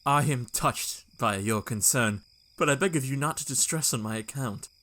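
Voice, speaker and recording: An actor playing a polite, proper young Victorian gentleman who speaks in a formal, courteous way. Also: mid-range voice, normal speed, natural voice. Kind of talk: audio drama acting and journal narration.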